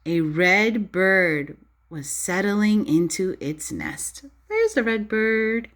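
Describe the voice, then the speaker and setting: Female early-years teacher, interactive storytime read-aloud, very lively intonation, unhurried pace, clearly pitched at little kids. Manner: expressive, child-directed, often questioning and explaining. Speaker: preschool or early primary teacher.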